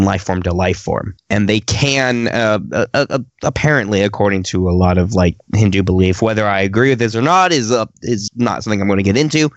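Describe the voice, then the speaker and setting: A man's voice: lowish voice, no echo, lo-fi sound. A man in a casual group discussion, talking eagerly and explaining things as a co-host. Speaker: podcast co-host.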